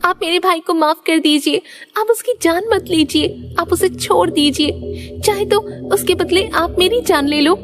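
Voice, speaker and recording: A woman voicing a worried, devoted young sister with an earnest, pleading manner in an animated moral story. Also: very high-pitched, normal speed, soft background music.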